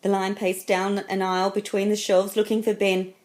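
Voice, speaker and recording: A woman reading a children's story aloud as narrator, with expressive, deliberate and dramatic phrasing. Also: mid-range voice. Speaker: storybook reader.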